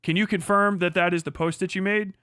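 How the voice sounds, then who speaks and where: A man voicing a methodical male lawyer who asks a witness question after question in a flat, procedural way. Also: high-pitched, a little fast, clean tone, no echo, clean studio sound.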